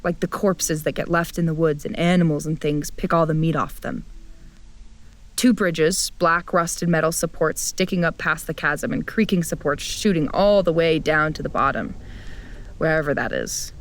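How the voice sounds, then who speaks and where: Low-voiced, wry young traveler played by a woman. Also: normal speed, lightly acted. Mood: wary, descriptive. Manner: wry, blunt. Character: grumpy, wary young traveler narrating the journey. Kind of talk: first-person audio drama narration and dialogue. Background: music.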